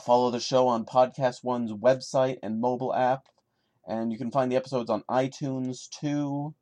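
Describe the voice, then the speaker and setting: A man's voice: mid-range voice, flat delivery, moderate pace, mellow. A man hosting a podcast, giving casual commentary on his own in an emphatic, mock-exasperated manner. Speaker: podcast host. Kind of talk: casual solo commentary and dramatic reading.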